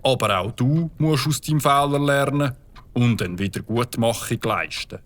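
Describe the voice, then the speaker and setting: A man's voice: mid-range voice, moderate pace, a bit bright, lightly acted. An actor playing a stern but fair village policeman in a children's audio drama.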